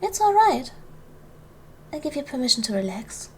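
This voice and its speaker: A woman acts out a noble duchess in a historical ASMR roleplay. Her voice sits fairly high, with formal, measured phrasing that soothes yet carries quiet command. Some background noise runs beneath her words. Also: big pitch swings. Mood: firm, indignant. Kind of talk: ASMR roleplay, soft formal period speech.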